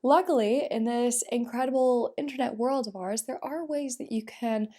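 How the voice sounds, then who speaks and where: A woman's voice: on the high side, moderate pace, mellow. A woman with a stuffy nose from a bad cold, chatting casually to camera with plenty of "um" and "like". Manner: chatty, upbeat, with fillers like "um" and "like". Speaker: YouTuber. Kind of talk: casual vlog explainer.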